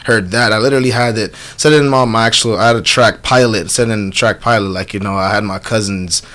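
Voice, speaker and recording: A man's voice: on the low side, fast talker. A man in his twenties from Jamaica, answering casually in a radio interview as a rapper, telling stories with lots of slang. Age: 20s. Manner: slangy, animated storytelling with frequent "you know what I'm saying". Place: small radio studio. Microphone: studio microphone.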